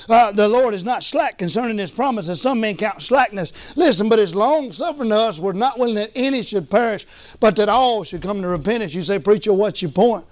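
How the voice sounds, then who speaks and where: A man preaching a sermon as pastor, hoarse from losing his voice, emphatic and calling for responses. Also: sits high, a little mellow, no echo. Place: church sanctuary.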